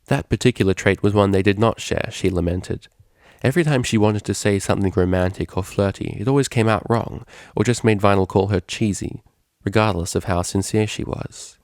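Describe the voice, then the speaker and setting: A man reading a story aloud as scripted, expressive narration. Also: fairly low pitch, brisk, no room sound, clean studio sound. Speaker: fan fiction reader.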